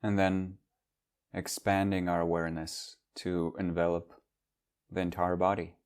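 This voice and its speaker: Low-voiced man guiding a meditation. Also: flat delivery, a little mellow, clean tone. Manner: measured, instructional phrasing with long pauses. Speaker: meditation teacher. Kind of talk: guided meditation.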